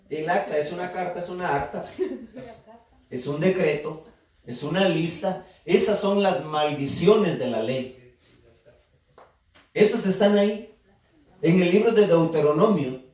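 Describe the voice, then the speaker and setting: A man's voice: fairly high pitch, darkish tone. A man preaching a sermon to a congregation, teaching and asking them to answer back. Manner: teaching, asks the congregation to respond. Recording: echoey church room from far off.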